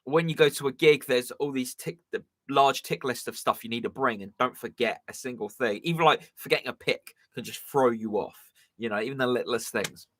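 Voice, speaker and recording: A man's voice: mid-range voice, fairly quick, a bit bright, no room sound. Rambling English guy, dry close sound. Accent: Southern English. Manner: rambling, many ums and uhs. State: slightly strained voice. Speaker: musician hosting a live stream. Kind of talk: casual chat.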